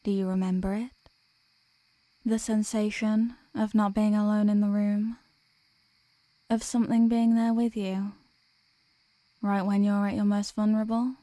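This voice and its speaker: A woman's voice: medium pitch, little pitch movement, unhurried, pauses often, warm tone, no echo, lightly acted. A woman playing a teasing sleep paralysis demon who can be menacing or apologetic and tender, in an intimate ASMR audio roleplay. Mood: slow menace. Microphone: close microphone, dry.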